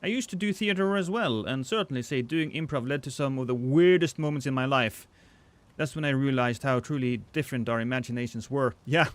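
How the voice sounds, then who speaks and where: A man's voice: mid-range voice, fairly quick. A man, chatting casually and joking with his chat while streaming a game. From Norway.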